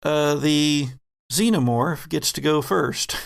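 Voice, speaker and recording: A man narrating a tabletop horror game as game master, in halting, suspenseful phrases. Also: fairly high pitch, gravelly.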